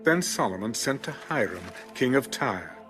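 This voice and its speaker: A man narrating scripture in a measured, formal, solemn storytelling style. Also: mid-range voice, big pitch swings, fairly bright tone, faint music behind.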